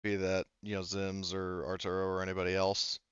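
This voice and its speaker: A man's voice: deep voice, monotone, fairly quick, fairly bright tone, clean tone, no room sound, dull audio. A man acting as game master in a tabletop roleplaying game, improvising descriptions and casual questions in a hesitant, conversational way.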